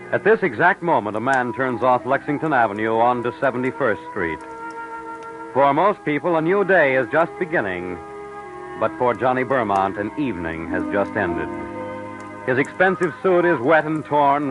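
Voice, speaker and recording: A male narrator giving measured, dry scripted narration for an old radio drama. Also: mid-range voice, muffled recording. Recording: old radio recording with music.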